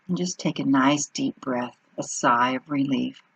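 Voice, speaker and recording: A woman leads a guided meditation at a slow, unhurried pace, leaving pauses between short phrases. Her voice is husky and full of fry. The recording is muffled and dull. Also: a bass voice, no room sound.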